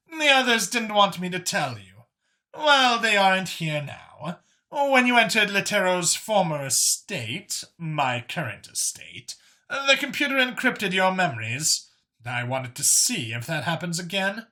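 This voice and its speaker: A man voicing a confident, formal female alien official in a science fiction audiobook, speaking in a deliberate, emphatic way. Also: sits high, sharp tone, voice pushed up, full character voice. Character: imperious female alien official, confident, formal, free-thinking council chair.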